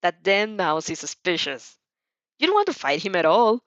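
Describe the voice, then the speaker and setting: A woman reading fan fiction aloud as an expressive, theatrical storyteller who now and then stumbles over words. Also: fairly low pitch, moderate pace, no echo, highs cut off. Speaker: fan fiction reader and host. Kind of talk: expressive storybook narration with character voices.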